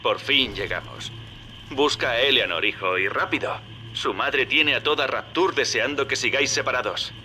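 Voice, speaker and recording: A male actor as a wry, folksy game schemer with a Castilian Spanish accent. He gives directions with easy familiarity at a medium pitch, over clearly noisy ambience. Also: moderate pace, close to an everyday voice. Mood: urgent, hushed. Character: folksy, wry male schemer guiding a younger man by radio. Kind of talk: video game dub acting.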